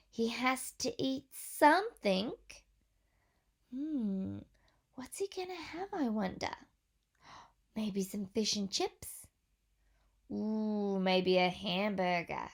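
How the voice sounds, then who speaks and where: Woman teacher, fairly high voice, slow storybook read-aloud, whispered asides, questions to kids. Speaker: teacher reading aloud to young children.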